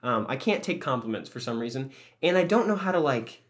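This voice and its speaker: A man's voice: mid-range voice, lively intonation, brisk. A young man co-hosting a podcast, telling stories in a rambling, joking way and swearing often. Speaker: podcast co-host. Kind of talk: casual chat.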